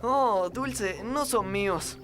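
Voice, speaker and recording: A woman's voice: mid-range voice, slow, lightly acted. A woman voicing a friendly, easygoing young boy in a children's cartoon dub. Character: easygoing, friendly young boy who looks after animals. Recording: loud music behind the voice.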